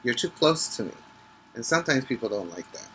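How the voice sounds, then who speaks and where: A man who teaches preschool, reading a picture book aloud emphatically, stretching key words and pausing to explain things to the children. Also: mid-range voice, sing-song, pushed and bright, slight background noise, poor audio. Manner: instructive, checking in with listeners.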